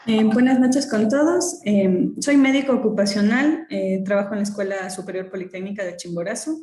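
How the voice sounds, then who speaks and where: A woman's voice: medium pitch, fairly quick, mellow. A woman occupational physician introducing herself on a video call, starting phrases with fillers. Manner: hesitant, opens with fillers. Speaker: occupational physician, course participant. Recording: echoey room on a video call.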